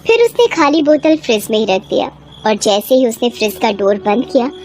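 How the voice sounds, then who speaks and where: A woman's voice: on the high side, very animated, normal speed, a little mellow. A woman storyteller reading a scripted horror bedtime story. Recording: clear background ambience noise.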